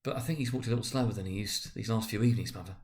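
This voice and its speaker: A man's voice: on the low side, very fast, a little mellow, natural voice. A man voicing an earnest, good-natured boy in a dramatic storybook reading. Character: Peter Cratchit, earnest, good-natured youth.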